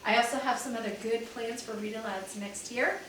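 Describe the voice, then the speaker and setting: Teacher reading aloud in an echoey room. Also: female voice, a little high, steady tone, fairly quick, a bit bright. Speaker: second grade teacher. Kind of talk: reading a chapter book aloud to children. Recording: echoey room, mic at a distance, faint hiss.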